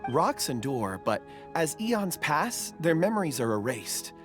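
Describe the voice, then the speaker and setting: A woman voicing a soft-spoken, reflective young woman in a video game, speaking slowly and gently, with sighs. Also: low-pitched, big pitch swings, soft background music. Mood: thoughtful. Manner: slow, gentle, sighing.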